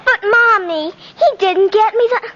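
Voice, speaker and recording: Stubborn, earnest little girl, extremely high voice, plaintive and direct, old radio drama. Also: measured pace, fairly bright tone. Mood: disappointed, sulky. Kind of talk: 1950s radio acting. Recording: muffled old radio broadcast.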